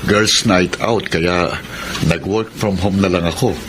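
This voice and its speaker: A man's voice: deep voice, fairly even intonation, slow pace. A man acting an attentive, devoted young boyfriend in a radio drama, speaking with a Filipino accent. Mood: friendly. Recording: clear background ambience noise.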